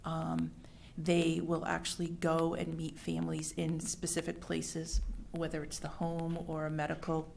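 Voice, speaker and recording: A woman's voice: low voice, completely flat delivery, unhurried, creaky, darkish tone, slight background noise. A woman school administrator presenting at a meeting, enthusiastic and talking with many fillers.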